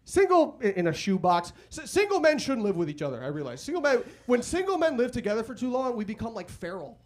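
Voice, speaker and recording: A young man from Chicago with a Chicago accent doing a stand-up comedy set, chatting loosely and shouting out crude acted-out quotes. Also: extremely high pitch, sing-song, fast. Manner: conversational, crude punchlines, loud acted-out quotes. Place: comedy club stage. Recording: live club audience audible. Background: audience laughter.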